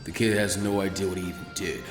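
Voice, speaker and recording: A man voicing a gruff, weary, laid-back ninja mentor in a dramatic story reading, with dry, low-key muttering. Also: fairly even intonation, fast talker, soft background music, lightly acted. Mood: pensive. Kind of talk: dramatic fan fiction character reading.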